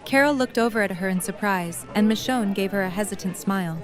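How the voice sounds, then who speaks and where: A woman's voice: medium pitch, a little fast, a faint crowd. Woman narrating an audio drama, faint music. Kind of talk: scripted story narration.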